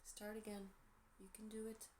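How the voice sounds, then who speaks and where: A woman with a Portuguese accent, gentle and encouraging, giving a tarot reading with soft asides and self-corrections. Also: medium pitch, flat delivery, normal speed, slight background noise. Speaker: tarot reader.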